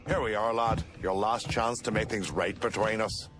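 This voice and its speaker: An actor playing a profane, wry Irish gangster with a gruff, scheming manner. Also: male voice, on the low side, fairly even intonation, moderate pace, heavy background noise, lightly acted. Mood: stern.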